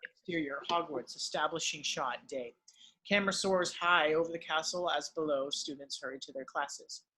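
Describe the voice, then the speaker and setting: A man narrates screenplay stage directions in a scripted read-through. His voice is fairly high and bright, with a husky grain. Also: moderate pace, rough recording.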